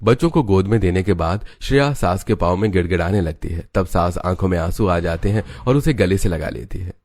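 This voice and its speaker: Low-voiced male cartoon narrator. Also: brisk, a little mellow, dry recording. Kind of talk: scripted story narration for a Hindi cartoon.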